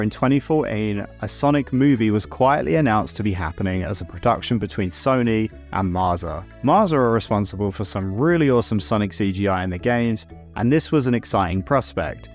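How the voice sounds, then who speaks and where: A man narrating a scripted video essay as host, casual and opinionated, with wry asides and the odd swear word. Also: fairly low pitch.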